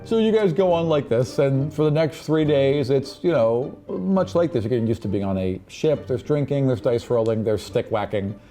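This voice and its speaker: Mellow-voiced man running a tabletop game. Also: mid-range voice, animated, normal speed, hardly any pauses, soft background music. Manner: descriptive scene-setting with casual asides. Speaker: game master. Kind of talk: narrating a tabletop fantasy game.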